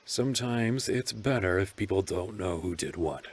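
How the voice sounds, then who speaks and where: An actor playing a smooth, self-assured official in a visual novel game. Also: male voice, deep voice, moderate pace. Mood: composed.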